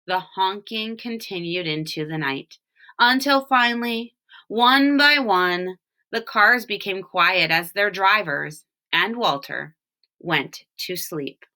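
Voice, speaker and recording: A woman reading a children's story aloud expressively, with deliberate emphasis on key words. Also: medium pitch, animated, pushed and bright. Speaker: storybook reader, teacher reading for home learning.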